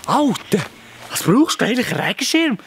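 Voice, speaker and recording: A young man from Fribourg speaks in a lively, playful way with a Sensler Swiss German accent. His voice sits high. He talks to the camera at home, with a faint hiss in the background. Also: moderate pace. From Canton of Fribourg, Switzerland. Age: young adult. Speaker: YouTuber. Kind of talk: casual dialect explainer.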